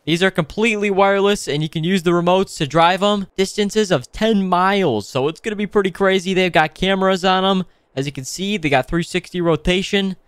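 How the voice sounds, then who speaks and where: Excitable guy doing casual game roleplay commentary, kinda high voice, really clean and clear. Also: a little fast, few pauses, dry recording. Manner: excitable, playful, whoops and exclaims. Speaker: gaming video host. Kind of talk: casual improvised roleplay commentary over gameplay.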